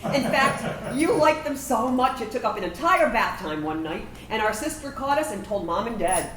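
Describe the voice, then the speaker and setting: Woman playing a wistful veteran, high and animated. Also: moderate pace. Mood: fond reminiscence. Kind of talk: staged reading of a personal narrative play. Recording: roomy, distant microphone, faint background noise.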